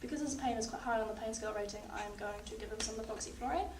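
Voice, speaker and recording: A young woman in a paramedic practical exam, calmly talking a patient through each step while losing her voice. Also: on the high side, rapid-fire. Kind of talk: narrating a clinical skills demonstration. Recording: echoey, distant, noisy room.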